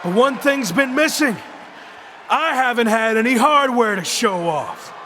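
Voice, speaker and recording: An actor playing a cocky wrestler who cuts an emphatic, boastful ring promo in a game cutscene. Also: male voice, very high voice, animated, measured pace, pushed and bright, slight background noise, heavily acted. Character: cocky young male wrestler making a comeback.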